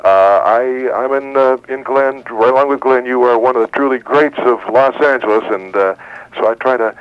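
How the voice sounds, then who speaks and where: Dull, dry call-in audio. Male caller, forties, American, hesitant and respectful, mid-pitched. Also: moderate pace, smooth, dry recording. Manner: hesitant, deferential. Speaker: radio call-in listener, former news intern. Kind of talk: casual phone-in chat. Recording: dull, band-limited radio call-in sound.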